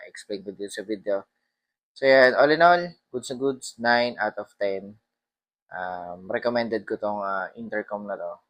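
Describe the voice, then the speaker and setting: Low-voiced man vlogging casually. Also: fairly bright tone. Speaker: motorcycle vlogger. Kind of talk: casual vlog product review, mixing Tagalog and English.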